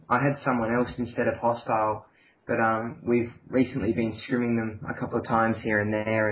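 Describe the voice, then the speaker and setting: A man giving team news in a casual, hesitant, matter-of-fact way. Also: mid-range voice. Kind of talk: casual team audio log. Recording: muffled lo-fi voice chat, faint noise.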